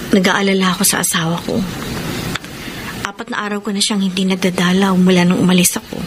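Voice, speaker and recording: A woman playing a guilt-ridden married woman in a radio drama, sounding worried, hesitant and soft-hearted. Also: medium pitch, level tone, a little fast, close to an everyday voice. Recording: noisy ambience bed.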